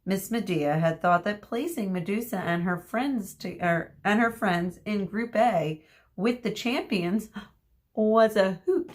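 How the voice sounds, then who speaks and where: A woman reading a children's story aloud expressively as a teacher, pausing to explain words and ask questions. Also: medium pitch.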